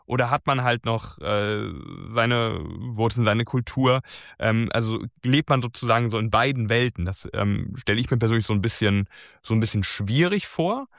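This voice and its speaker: A man's voice: medium pitch, moderate pace, creaky, a bit bright, no room sound, clean studio sound. A man hosting a casual conversation, talking hesitantly and searching for his words. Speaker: host.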